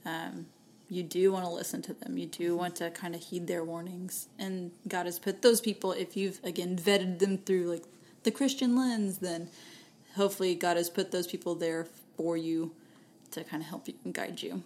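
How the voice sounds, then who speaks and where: Texan woman, playful podcast co-host. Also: lowish voice. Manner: lively, playful, breaks into laughs and quick asides. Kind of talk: casual faith-based podcast chat.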